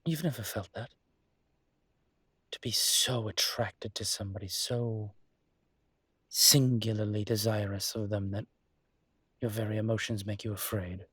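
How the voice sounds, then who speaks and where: A man playing a suave, flirtatious demon professor in an intimate audio roleplay, teasing and indulgent, with a husky, breathy voice and languid, theatrically polite phrasing. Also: fairly low pitch, steady tone, fairly bright tone, dry recording. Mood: seductive, indulgent. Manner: languid, seductive, theatrically polite with drawn-out words.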